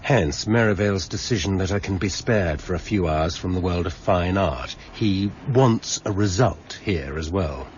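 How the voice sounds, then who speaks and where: A very deep-voiced English police superintendent acted by a man, weary, curt and drily sarcastic. It is radio crime drama, on a dull recording with some background noise. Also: normal speed, hardly any pauses, natural voice. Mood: dry, brisk. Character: weary, sardonic, impatient male police superintendent. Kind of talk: 1990s British radio drama acting.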